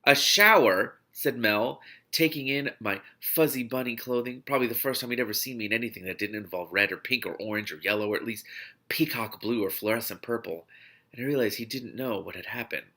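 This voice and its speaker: A man with a lowish, creaky voice reads a story aloud. His tone is bright and forceful, and his delivery is expressive and dramatic, like an engaged read-along host. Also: a little fast. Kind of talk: expressive audiobook-style reading with spontaneous reactions.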